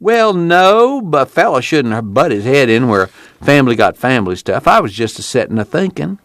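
A man voicing a humble, nervous former preacher in a storybook reading, with a rural American accent and a halting, folksy manner. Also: mid-range voice, sing-song, fairly bright tone, dry recording, studio quality, pitched above the performer's own voice. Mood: sheepish.

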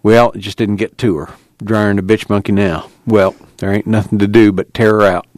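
Guy playing a steady farm mechanic in an audiobook, low voice, short plain orders, very dry sound. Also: a little fast, no echo, natural voice. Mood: brisk, instructing. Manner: plain, folksy, instructing, clipped phrases. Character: practical, steady country man, calm amateur mechanic.

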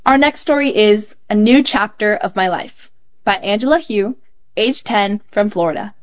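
A woman reading a child's essay aloud as scripted narration, in a clear and measured storytelling manner. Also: medium pitch, lo-fi sound. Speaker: host reading children's stories.

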